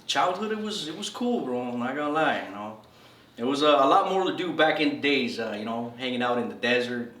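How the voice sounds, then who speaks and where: A man in his thirties from El Salvador, sharing his story in a loose, slangy way with "bro" thrown in all the time. His voice sits at an ordinary middle pitch. He is a little off the mic in a roomy, echoing space. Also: big pitch swings, brisk, fairly bright tone. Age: 30s. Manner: laid-back slangy storytelling, says "bro" a lot. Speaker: interviewee. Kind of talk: casual storytelling interview. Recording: echoey room from a distance.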